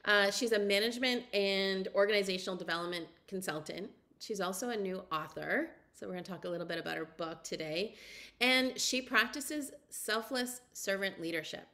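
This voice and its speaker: A woman's voice: medium pitch, normal speed, bright, forceful tone. A woman hosting a podcast over a video call, friendly and chatty. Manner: friendly, chatty, laughs easily. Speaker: podcast host. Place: home room.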